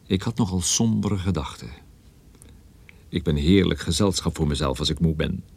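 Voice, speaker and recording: Weary detective narrator, lowish husky voice, sardonic, scripted radio play narration, dry studio sound. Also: male voice, a little mellow, dry recording, full character voice. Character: world-weary male private detective narrating his own story. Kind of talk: scripted first-person radio drama narration.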